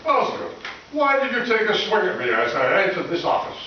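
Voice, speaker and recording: A man's voice: high-pitched, very animated, a little fast. A man playing a stern, indignant head of a school board in a comic live radio play. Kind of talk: comic old-time radio play acting. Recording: echoey hall from far off, dull, hissy.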